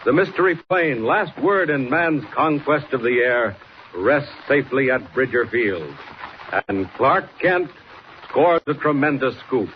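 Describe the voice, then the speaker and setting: A man's voice: medium pitch, expressive, unhurried. A man announcing a 1940s radio adventure serial in dramatic scripted narration. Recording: muffled old radio recording, faint noise.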